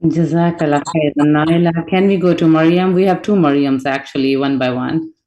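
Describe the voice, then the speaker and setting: A woman's voice: low voice, normal speed, dark tone. A woman hosting an online meeting over a video call, directing who speaks next. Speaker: moderator of an online orientation meeting.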